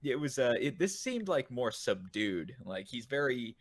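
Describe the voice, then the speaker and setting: A man's voice: medium pitch, big pitch swings, measured pace, a little hiss or hum. A man co-hosting a casual podcast, speaking in an agreeable, tentative way. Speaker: podcast co-host. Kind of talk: casual chat.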